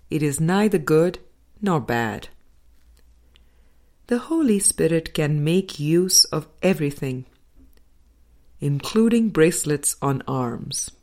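A woman narrating a spiritual audiobook, reading scripted text in measured, deliberate phrases. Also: low voice, darkish tone. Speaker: audiobook reader. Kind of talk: scripted spiritual book narration, read aloud.

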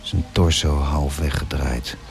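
An actor playing a melancholy hotel owner in a radio drama, looking back after the war in wistful, measured narration. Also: male voice, rumbling low, steady tone, gravelly, exaggerated voice. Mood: wistful, brooding. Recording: music under the voice.

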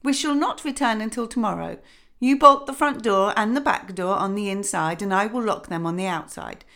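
A woman reading a fairy tale voices the lady of the house, who speaks loudly and bossily. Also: medium pitch, moderate pace, pitched above the performer's own voice, close to an everyday voice. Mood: commanding. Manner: loud, emphatic, bossy. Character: the lady of the house, brisk and commanding.

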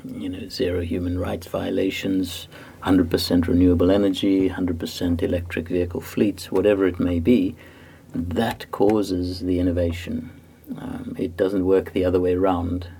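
A low-pitched man from Zimbabwe answers interview questions in a steady, level voice with hardly any rise or fall. His tone is gravelly and a little husky, and he explains his points slowly, with pauses and fillers as he goes. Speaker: guest author.

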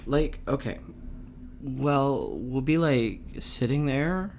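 A woman plays a spacey, evasive rock star in a radio comedy. The delivery is unhurried and halting, full of vague hedging. The deadpan lines still bend up and down in pitch. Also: gravelly, slight background noise, heavily acted. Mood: halting recollection. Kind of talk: naturalistic comedic radio play dialogue.

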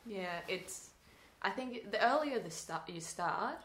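A woman's voice: mid-range voice, expressive, slow pace, a bit bright, echoey room. A young Swedish woman acting student with a Swedish accent, talking casually and thoughtfully in an interview, with restarts and laughing asides. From Sweden.

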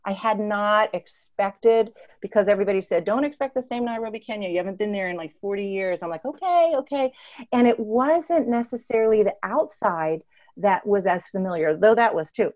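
A woman in her fifties from East Africa, an interview guest telling reflective personal stories in an expressive, emphatic way. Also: medium pitch, moderate pace, muffled recording. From born and raised in East Africa.

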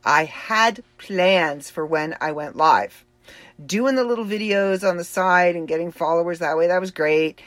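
A woman's voice: fairly low pitch, measured pace, no room sound. A woman with a Boston accent giving a rambling, chatty personal update, laughing easily as she talks. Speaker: author and livestream host.

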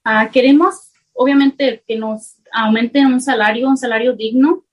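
A woman's voice: on the high side, fairly even intonation, a little fast, lo-fi sound. A woman, a hotel worker, giving an unscripted spoken answer at a briefing. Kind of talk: unscripted answer through an interpreter.

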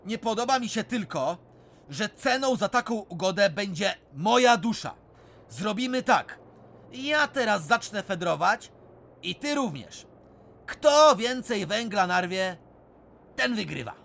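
Cheeky miner, high voice, slow and sly, radio play. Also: male voice, vocal fry, exaggerated voice. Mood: teasing. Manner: crafty, teasing, calm. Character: clever cheeky Silesian miner Bulandra, adult man. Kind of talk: radio drama acting.

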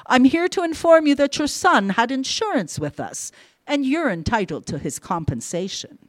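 A woman's voice: high-pitched, very animated, no room sound, voice pushed up. A woman voicing a businesslike company lawyer in a story, speaking with formal, official politeness. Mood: formal sympathy. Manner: formal, brisk condolence. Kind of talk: storybook character acting.

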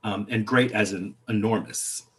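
A playwright talking about his work on a video call, reflective and halting, restarting his sentences often. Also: lowish voice, a bit bright. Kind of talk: panel discussion over video call.

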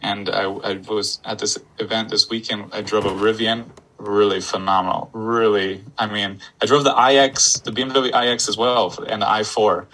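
Bright-voiced, chatty man from Florida. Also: fairly low pitch, little pitch movement, moderate pace, hardly any pauses, a little hiss or hum, low-quality recording. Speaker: interviewer. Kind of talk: casual interview chat.

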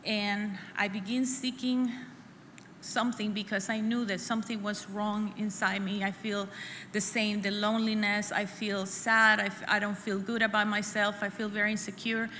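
A middle-aged woman from El Salvador, sharing her personal testimony at a church women's conference in an earnest, halting way, often repeating phrases. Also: medium pitch, flat delivery, bright, forceful tone. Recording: echoey hall with faint room noise.

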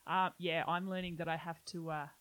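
A woman's voice: fairly low pitch, little pitch movement, a bit bright. Teasing woman in her thirties. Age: 30s. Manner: teasing, quick to laugh. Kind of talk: casual chat.

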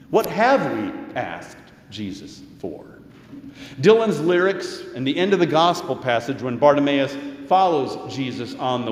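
A middle-aged or older American man preaching as a pastor, measured and deliberate, with pauses and rhetorical questions. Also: mid-range voice. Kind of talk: sermon. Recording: echoey church hall with long reverb.

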